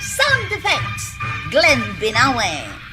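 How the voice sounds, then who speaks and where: A woman announces radio drama credits in a high voice with very lively, swooping intonation. She takes her time, and music plays clearly behind her. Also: extremely slow, rough recording. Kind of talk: scripted credits narration.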